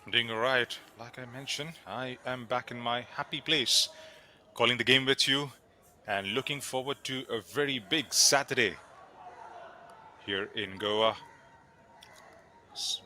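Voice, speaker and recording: A man from India with an Indian accent, co-commentating live football in a measured, analytical way. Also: mid-range voice, fairly even intonation, bright, forceful tone.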